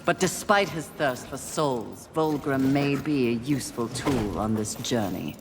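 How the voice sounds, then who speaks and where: A woman's voice: a bass voice, expressive, unhurried, background noise, full character voice. An actress playing a haughty, scornful female warrior of the apocalypse in a video game cutscene, imperious and dry. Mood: confident.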